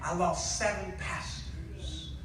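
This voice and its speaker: A man preaching an impassioned sermon, exhorting his listeners with pauses and rhetorical questions. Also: high voice, steady tone, background noise. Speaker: guest preacher. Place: large echoey indoor church with congregation. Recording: echoey church, distant mic, congregation responding.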